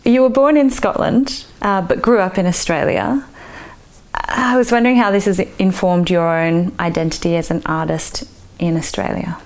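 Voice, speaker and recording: A woman interviewing an artist, asking questions gently and affirming with short replies. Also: fairly low pitch, moderate pace.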